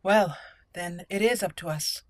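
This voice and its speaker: A woman's voice: on the low side, a little slow. A woman hosting a roleplay let's play, switching between casual commentary and reading character dialogue aloud.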